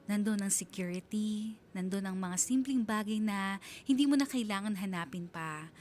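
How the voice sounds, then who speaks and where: A woman's voice: medium pitch, moderate pace, darkish tone, slight background noise, lightly acted. An actress playing a sentimental married mother with a Filipino accent, narrating her own love story in a heartfelt, reflective way for a radio drama.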